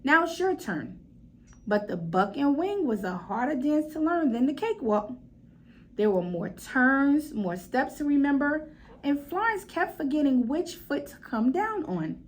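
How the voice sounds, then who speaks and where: A woman reads a children's story aloud in a high voice with very lively intonation. She leans on key words dramatically and speaks straight to her young listeners. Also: measured pace. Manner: animated, dramatic emphasis, addresses listeners directly. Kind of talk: expressive children's story reading with asides to listeners.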